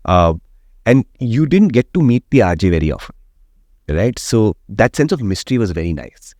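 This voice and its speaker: A man, speaking in a fairly low register. He lays out his opinions at length, like a seasoned radio host, and keeps asking "right?" to check his listener is following. The studio sound is close and dry, with no room echo. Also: very animated, normal speed, darkish tone. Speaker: radio jockey and guest. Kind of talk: opinionated interview conversation.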